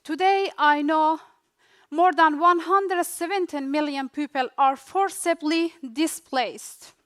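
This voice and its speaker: A young Afghan woman with a Dari-speaker's accent gives a rehearsed talk about her own life. Her voice sits high, and she lets each short phrase land in its own slow, unhurried beat. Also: a bit bright. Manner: slow, deliberate delivery in short dramatic phrases with pauses. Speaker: refugee speaker. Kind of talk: rehearsed personal storytelling speech.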